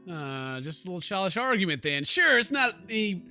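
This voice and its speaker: A man's voice: high voice, sing-song, a little fast, sharp tone, soft background music. A man doing playful let's play commentary, reading game text aloud with exaggerated reactions. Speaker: gaming video host.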